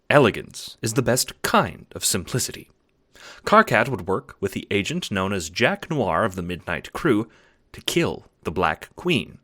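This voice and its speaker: A man's voice: mid-range voice, a bit bright, heavily acted. A man playing a precise, erudite alien troll who narrates an audio drama in a formal, pedantic manner. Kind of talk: scripted audiobook narration in character.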